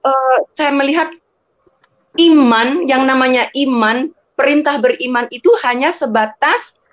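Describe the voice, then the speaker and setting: A woman's voice: sits high, a little slow, dull audio. An Indonesian woman teaching scripture conversationally, quoting and translating phrase by phrase and checking for agreement. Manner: explanatory, quotes and translates phrase by phrase, seeks agreement. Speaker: guest explaining scripture.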